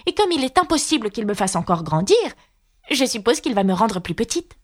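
A woman's voice: on the high side, big pitch swings, brisk. A woman voicing a plucky, curious young storybook girl, spirited and given to musing to herself. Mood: pondering. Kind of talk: storybook character reading.

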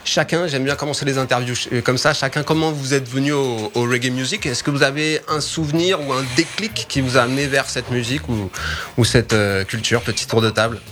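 A man hosting a casual radio interview in a studio, relaxed, dropping English words like "all right" between French phrases. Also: medium pitch, fairly quick, a little hiss or hum. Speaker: radio show host. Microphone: studio microphone with headphones.

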